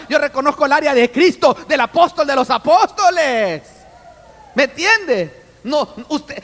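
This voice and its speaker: A man preaching a sermon with an animated manner, often calling out to his listeners as brother. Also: very high voice, in a large hall. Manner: animated, addresses listeners as brother, acts out voices. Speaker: apostle preaching.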